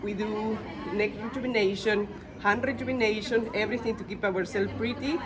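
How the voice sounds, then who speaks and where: Woman pitching her business amid a crowd. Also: steady tone, fairly quick. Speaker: beauty salon owner, interview guest. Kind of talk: promotional introduction. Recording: clear crowd noise behind.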